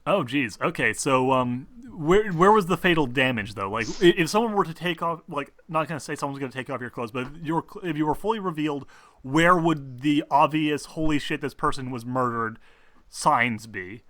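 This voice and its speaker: A man runs a tabletop roleplaying session as game master. His voice is bright and very dry, with no room around it. He talks informally, swearing freely and halting as he builds the scene. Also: a little high, animated, dry recording.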